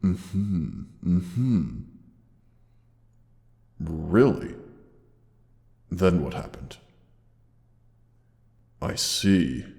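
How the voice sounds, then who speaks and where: A man voicing a gentle, caring old dragon in a roleplay audio drama, speaking formally and tenderly with dramatic pauses. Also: deep voice, gravelly, heavily acted. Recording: long cavernous reverb.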